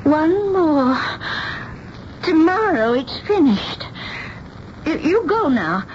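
Fluttery older spinster seamstress, high and swooping, radio drama, muffled recording. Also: female voice, big pitch swings, exaggerated voice. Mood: dreamy, pleading. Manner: fussy, halting, repeats words. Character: fluttery, eccentric, doting older spinster dressmaker. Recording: dull, slightly noisy 1970s radio recording.

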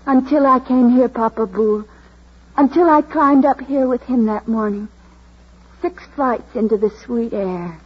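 An actress in a vintage radio drama playing a gentle, self-doubting young woman with a hesitant, earnest way of talking. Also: on the high side, measured pace, husky, phone-quality sound. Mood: happy, wistful. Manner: soft-spoken, hesitant, earnest. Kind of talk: 1940s radio drama acting.

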